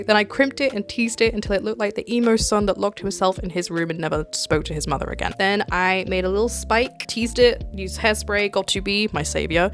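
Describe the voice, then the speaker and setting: A woman giving a casual, chatty voice-over as a craft YouTuber, gushing and self-deprecating in an informal way. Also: mid-range voice. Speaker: cosplay YouTuber and host.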